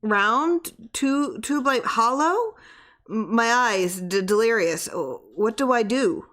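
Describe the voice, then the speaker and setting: A woman reader acts out a feverish, terrified man in a horror tale. He gasps and stammers in faint, desperate pleas, the pitch climbing with fear. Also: lively intonation, takes it slowly, fairly bright tone. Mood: faint, frightened, delirious. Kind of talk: dramatized horror story reading.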